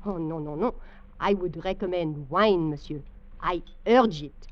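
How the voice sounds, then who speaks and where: An actress playing a courteous, persuasive middle-aged French restaurant hostess with a French accent in an old-time radio drama. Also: female voice, lowish voice, measured pace, lightly acted. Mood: courteous, insistent. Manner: persuasive, formal, insistent. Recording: muffled old radio recording, faint music.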